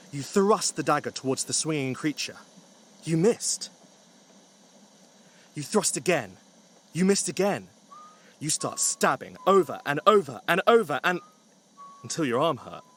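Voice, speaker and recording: A man's voice: a little high, big pitch swings, unhurried, pauses often, a bit bright, a little hiss or hum. A man narrating a scripted story with wry, dry humour.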